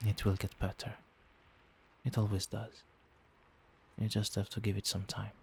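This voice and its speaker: A man playing a doting, gentle vampire boyfriend in a soft-spoken ASMR roleplay, tender, reassuring and coaxing. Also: fairly low pitch, little pitch movement, normal speed, creaky, slight background noise. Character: doting, gentle male vampire boyfriend soothing partner to sleep.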